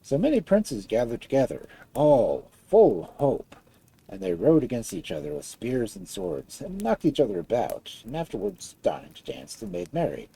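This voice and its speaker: A storyteller reading a fairy tale aloud in an expressive style. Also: medium pitch, moderate pace, vocal fry, husky, poor audio.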